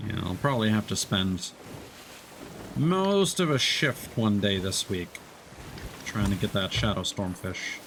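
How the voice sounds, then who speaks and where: A man's voice: on the low side, expressive, measured pace, a bit bright. A man streaming a game, chatting casually and explaining fishing tips with lots of fillers and restarts. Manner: rambling, hesitant, many fillers and restarts. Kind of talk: casual gameplay chat. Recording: faint background noise.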